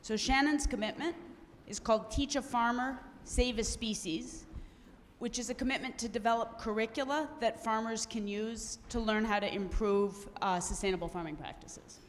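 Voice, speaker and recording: A woman moderating a conference panel, introducing speakers briskly and stumbling over a name. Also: fairly high pitch. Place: conference hall. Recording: long hall reverb, faint room noise.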